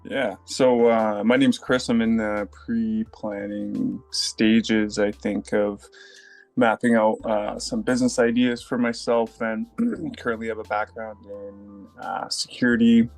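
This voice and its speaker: A man introducing himself in an online meetup, speaking in a measured, hesitant way. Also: fairly low pitch, fairly even intonation, creaky, a little mellow, faint music behind. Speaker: meetup participant. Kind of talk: casual self-introduction.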